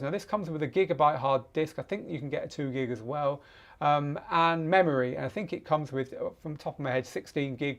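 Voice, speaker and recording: A man's voice: fairly high pitch, vocal fry, a little mellow. A man hosting a casual live question-and-answer show, explaining off the cuff in a conversational way and repeating words for emphasis.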